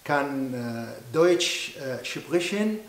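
A man, speaking haltingly as a language learner with many hesitations. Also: mid-range voice, animated, roomy sound. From Syria. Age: 60s. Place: indoor studio backdrop.

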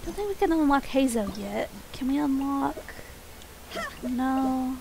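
Woman streamer, high and slightly nasal, relaxed chatty delivery, faint hiss on the recording. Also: a little slow, gravelly, rough recording. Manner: soft-spoken, chatty, frequent small laughs; voice pitched up from her natural register. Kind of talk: casual game stream chat.

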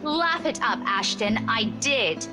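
A woman's voice: a little high, expressive, slow, over music. A woman voicing a proud, flustered young female teacher in a visual novel, hesitant and easily indignant.

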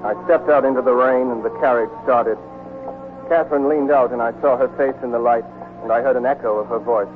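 An actor playing a tender, wistful soldier in love who narrates a 1940s radio drama. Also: male voice, mid-range voice, steady tone, a little fast, lightly acted. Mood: wistful narration. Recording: tinny 1940s radio broadcast with music.

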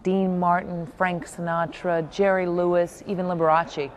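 A woman's voice: fairly low pitch, level tone, normal speed, slight background noise. A woman hosting a travel show, asking her guide questions in an inviting, conversational way. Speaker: host. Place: outdoors on a beach boardwalk, later a hotel lobby.

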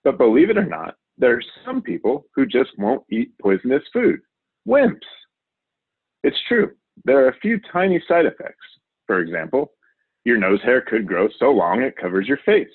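A man voicing a wild, overconfident male TV survival show host from a children's storybook, excitable and showy. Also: mid-range voice, normal speed, husky, no echo. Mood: cheerfully reckless. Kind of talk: children's storybook character reading. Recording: narrow, phone-like audio.

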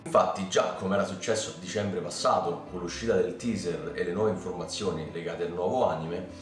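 A young man from Italy presenting anime news to his viewers in a chatty, excitable way, recorded at home on a camera mic. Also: low voice. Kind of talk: casual news vlog. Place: home room with keyboard and lamp. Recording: roomy, slightly distant mic with faint music.

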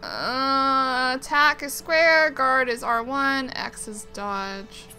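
A woman's voice: high voice, lively intonation, very slow, over music, rough recording. A woman giving casual, reactive commentary on a video game, slangy and often puzzled. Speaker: gamer doing a blind let's play.

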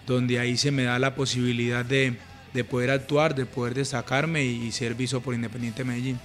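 Earnest, flat-voiced Colombian man. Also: mid-range voice, a little fast, soft background music. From Urabá, Colombia. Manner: earnest, calm, many small restarts and fillers. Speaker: interviewed footballer. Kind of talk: reflective interview answers. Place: living room, on a sofa indoors. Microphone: handheld mic held at chest.

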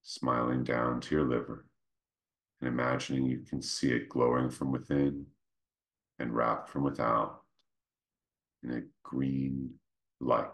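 A man leading a guided meditation, speaking gently and without hurry, in short phrases with pauses between them. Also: flat delivery. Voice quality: very deep, creaky. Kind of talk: guided meditation, slow soothing instruction.

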